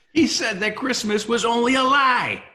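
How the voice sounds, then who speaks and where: A man's voice: very high voice, pushed and bright, a little hiss or hum, full character voice. A man playing a merry, scornful young nephew at a party in a dramatic table read.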